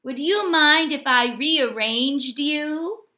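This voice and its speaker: A woman voicing a friendly, eager male hermit crab from a storybook, with a polite, emphatic, drawn-out delivery. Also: high voice, bright, forceful tone, voice pushed up, exaggerated voice. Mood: delighted, polite.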